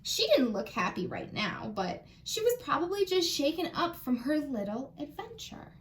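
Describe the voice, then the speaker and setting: High-pitched American woman reading a storybook. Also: moderate pace. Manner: animated, asks listeners questions. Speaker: children's librarian reading storytime. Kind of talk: expressive picture-book read-aloud for children.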